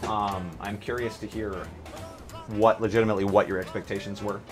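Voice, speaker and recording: A man's voice: on the low side, flat delivery, a little fast. A man chatting casually on a livestream, rambling and hesitant, often repeating his phrases. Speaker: streamer and roleplay player. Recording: music playing behind. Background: music.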